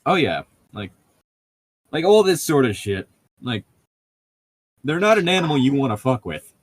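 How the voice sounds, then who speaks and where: Casual, profane man with lively, swooping pitch. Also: normal speed, no room sound. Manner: crude joking, profane, laughs often. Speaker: podcast co-host. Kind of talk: casual chat.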